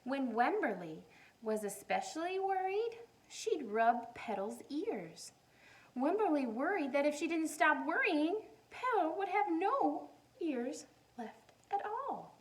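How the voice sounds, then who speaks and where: A woman's voice: high-pitched, big pitch swings, slow pace, poor audio. A woman reading a picture book aloud expressively to young children, adding simple explanations as a teacher would.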